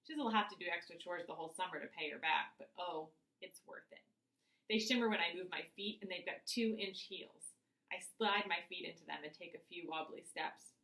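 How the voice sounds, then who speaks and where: A woman reading a children's novel aloud as its author, with expressive first-person narration. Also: fairly low pitch, normal speed. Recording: echoey room.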